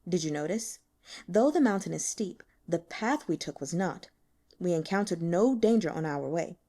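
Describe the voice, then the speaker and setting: A woman voicing a shrewd, dry, sickly male investigator in an audiobook, explaining things in a measured, matter-of-fact way. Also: lowish voice, lively intonation, mellow. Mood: explaining, assured. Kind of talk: audiobook character dialogue.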